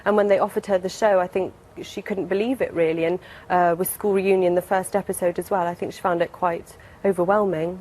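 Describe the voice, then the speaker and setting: A woman in her twenties with a British accent gives casual interview answers. Her voice sits a little low, and she softens her statements as she talks. There is faint ambient noise behind her. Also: steady tone, brisk, rough recording. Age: 20s. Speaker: interview guest.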